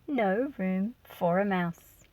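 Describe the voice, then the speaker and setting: A woman reading a picture book aloud to children, expressive and engaging the listener as she goes. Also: mid-range voice, takes it slowly. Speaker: children's story reader.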